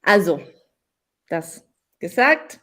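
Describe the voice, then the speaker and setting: A young woman hosting a casual online session, talking slowly and often restarting her phrases. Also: fairly low pitch, sing-song, fairly bright tone. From Ireland. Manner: slow, restarts phrases, switches between German and English. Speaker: host.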